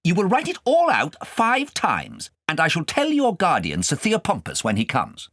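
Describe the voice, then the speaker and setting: Man putting on a haughty, high-pitched scolding governess. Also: huge pitch swings, moderate pace, a bit bright, no room sound, clean studio sound, heavily acted. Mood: stern, scolding. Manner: imperious, scolding.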